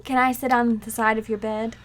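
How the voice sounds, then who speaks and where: A woman's voice: fairly high pitch, level tone, fairly quick, close to an everyday voice. A woman playing a playful, scheming young wife in a 1940s-style radio sitcom, coaxing and teasing her husband.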